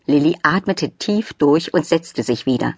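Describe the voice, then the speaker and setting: A woman narrates a children's audiobook from a script. Her voice is fairly low and slightly husky, recorded dry and close with no room sound. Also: expressive, a little slow, darkish tone, dry recording.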